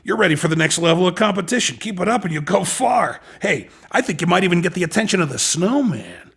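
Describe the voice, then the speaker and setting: A man playing a cocky street racing recruiter in a video game, with a raspy voice and slangy, coaxing patter. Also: high voice, very animated, fast, fairly bright tone, full character voice. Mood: cocky, encouraging. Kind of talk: video game character dialogue.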